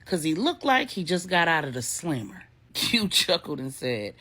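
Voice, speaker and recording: Husky, animated woman storyteller. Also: low-pitched, moderate pace. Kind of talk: animated story narration, casual and colloquial.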